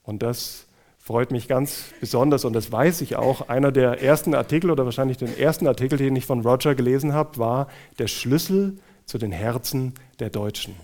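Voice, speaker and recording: A man's voice: medium pitch, moderate pace. Hessian man introducing a speaker. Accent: Hessian German. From Hesse, Germany. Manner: warm, personal, joking asides to the audience. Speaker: conference moderator introducing a speaker. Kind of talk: church conference introduction.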